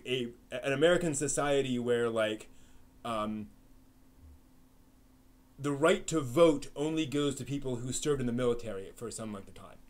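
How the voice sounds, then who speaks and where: A man hosting a live stream, chatting casually and answering questions from the chat, rambling with lots of fillers and restarts. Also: mid-range voice.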